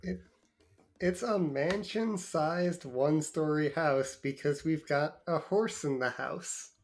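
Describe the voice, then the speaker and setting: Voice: mid-range voice, slow pace. A player in a tabletop game chatting casually over voice chat, laid-back and laughing along with the others. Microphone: home microphone on a voice call.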